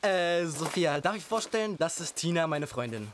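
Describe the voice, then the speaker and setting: A man's voice: fairly high pitch, animated, moderate pace, slight background noise, natural voice. A young man playing a polite, awkward teenage count's son, speaking hesitantly in a children's audio drama.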